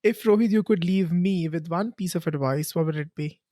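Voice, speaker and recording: Calm podcast host asking questions. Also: medium pitch, moderate pace, no room sound, studio quality. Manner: calm, brief prompts and soft backchannels. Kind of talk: interview questions.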